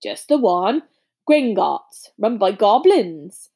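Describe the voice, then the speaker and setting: A woman acts out a huge, gruff but kindly giant man from a storybook. He speaks in a rough, colloquial way, grunting, clipping his sentences and taking pride in himself. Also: mid-range voice, big pitch swings, slow pace, mellow, full character voice. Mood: hearty, reassuring. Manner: colloquial, dropped words, grunting. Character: big gruff friendly giant man, proud and rustic.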